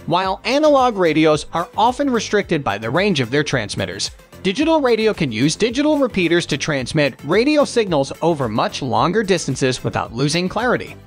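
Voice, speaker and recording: A man reading a scripted product review voice-over in a promotional, list-style manner. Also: high voice.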